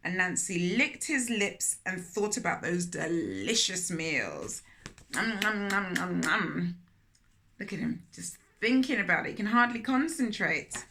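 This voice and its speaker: A woman's voice: medium pitch, sharp tone. A woman hosting a children's read-aloud, telling the story expressively with chatty asides and questions to listeners.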